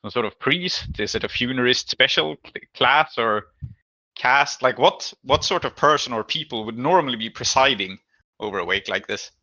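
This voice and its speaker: Bright, forceful man running a tabletop game. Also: mid-range voice, expressive, moderate pace, no echo, muffled recording. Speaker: game master and host of a tabletop actual-play podcast. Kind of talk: casual collaborative scene-setting for a tabletop game.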